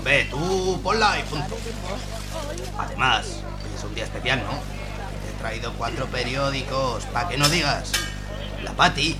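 A man playing a gruff, wry bar regular in a radio play, curt and teasing. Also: medium pitch, animated, measured pace, over loud music, lightly acted.

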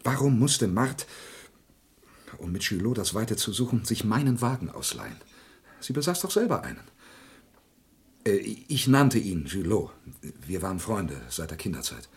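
A man's voice: on the low side, big pitch swings, moderate pace, slightly rough, heavily acted. An actor playing a lonely, bitter antique dealer in a radio crime drama, stammering and theatrical in his agitation. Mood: desperate. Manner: agitated, stammering, theatrical, swinging between murmurs and outbursts.

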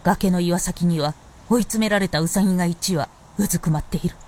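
A woman's voice: fairly low pitch, a little fast. A woman narrating a radio drama as a scripted storyteller. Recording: loud music behind narration.

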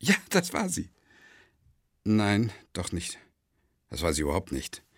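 Unhinged male patient confessing to his doctor, low husky voice, theatrical swings, dry radio-play sound. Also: huge pitch swings, unhurried, dry recording, heavily acted. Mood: agitated, searching. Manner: theatrical, rambling, swinging from musing to agitated.

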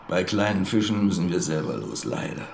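An actor playing a dry, wry middle-aged police detective in a radio drama, laconic, ironic and formal. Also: male voice, deep voice, creaky, a little mellow, slight background noise, exaggerated voice.

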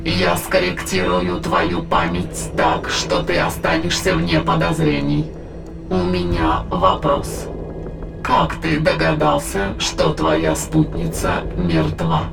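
Man as inhuman alien being, high-pitched, heavy creaky fry, coldly calculating, clinical delivery, sci-fi audio drama, music behind. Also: lively intonation, exaggerated voice. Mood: calm, matter-of-fact. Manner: measured, clinical, matter-of-fact. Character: alien immaterial entity in a dead woman's body, cold, calculating.

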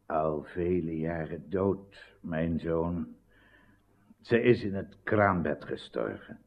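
Slow, deep old ailing king. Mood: sorrowful. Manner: grave, slow, halting. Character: ailing, solemn old king awaiting deliverance. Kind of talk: radio drama acting. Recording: dull lo-fi old radio recording.

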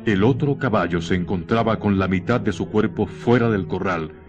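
A man narrating a scripted radio horror drama in a grave, dramatic manner with deliberate pauses. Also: lowish voice, dull audio, music in the background.

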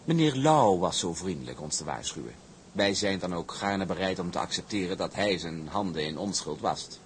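Smug shipping heir: genteel menace, low and animated, audio drama with faint noise. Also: male voice, normal speed. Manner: mocking courtesy, genteel, unctuous. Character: smug, silkily polite villainous shipping magnate's son. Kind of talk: Dutch audio drama acting.

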